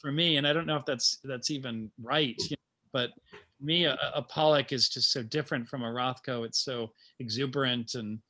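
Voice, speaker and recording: Male guest writer, bright and forward tone, medium pitch, thoughtful and halting informal talk. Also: steady tone. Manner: halting, thoughtful, chuckling asides. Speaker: playwright and teacher, guest speaker. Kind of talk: informal talk about creative process.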